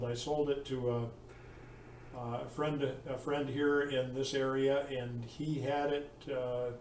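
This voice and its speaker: A man chatting casually as an amateur radio operator, speaking haltingly with many pauses. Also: medium pitch, darkish tone. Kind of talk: casual ham radio chat. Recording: roomy, distant mic with faint noise.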